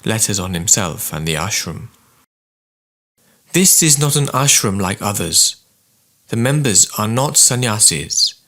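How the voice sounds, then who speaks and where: A man reading spiritual writings as scripted narration, in a measured, formal and deliberate manner. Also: fairly low pitch, lively intonation, pushed and bright.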